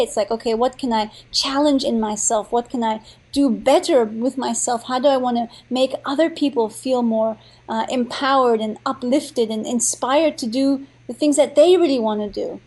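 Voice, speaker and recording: A young woman guest, born in Thailand and raised in India and Nepal, speaks in a high voice. She chats from her home in a bubbly, rambling way, full of restarts and fillers. She sounds excited about her topic throughout. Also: normal speed. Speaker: podcast guest, entrepreneur. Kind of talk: casual interview.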